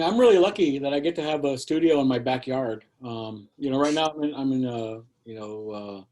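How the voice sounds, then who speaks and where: A man's voice: medium pitch, dark tone. A middle-aged man talking casually on a video call from his backyard studio shed, rambling with many fillers and restarts. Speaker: guest artist. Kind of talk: casual conversational talk.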